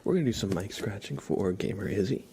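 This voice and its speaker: A man hosting an ASMR livestream, chatting softly and mostly in a breathy whisper. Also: lowish voice, lively intonation, fast, a little hiss or hum. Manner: soft-spoken, mostly whispering. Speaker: livestream host.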